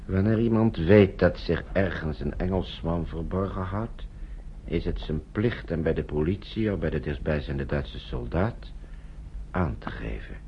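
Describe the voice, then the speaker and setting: An actor playing a stern, inciting propaganda voice in a radio drama, declaiming pompously. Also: male voice, sits low, animated, unhurried. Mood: solemn exhortation. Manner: pompous, oratorical. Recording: noisy, dull old radio recording.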